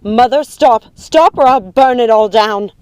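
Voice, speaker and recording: A woman's voice: on the high side, lively intonation, moderate pace, mellow, close to an everyday voice. A woman voicing a frightened but defiant young witch daughter in an audiobook, urgent, pleading and emotional. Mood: defiant, desperate. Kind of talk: audiobook character acting.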